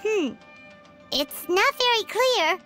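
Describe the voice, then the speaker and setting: A tiny, jittery fairy girl who speaks of herself in the third person. The voice sits extremely high and bounces all over, with words drawn out slowly. Game music plays clearly behind her. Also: animated, sharp tone, full character voice. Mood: curious. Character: tiny chirpy fairy girl companion, excitable and easily scared. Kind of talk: video game voice acting.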